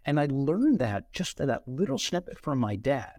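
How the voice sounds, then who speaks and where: A middle-aged man, a podcast guest, telling career stories in an animated way and acting out quoted dialogue. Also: mid-range voice, moderate pace, no room sound, studio quality. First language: bilingual, Brazilian parents. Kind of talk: conversational interview storytelling.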